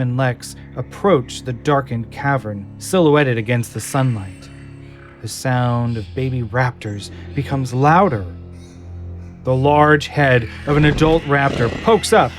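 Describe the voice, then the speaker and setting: A man narrating an audio drama in a scripted, dramatic storytelling style. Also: medium pitch.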